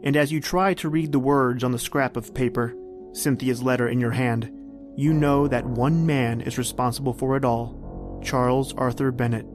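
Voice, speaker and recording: A man playing an ominous narrator of an old-time radio suspense drama, speaking deliberately and insinuatingly as if straight to the hero. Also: medium pitch, steady tone, natural voice. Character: ominous male narrator addressing the hero as you. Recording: music under the voice. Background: music.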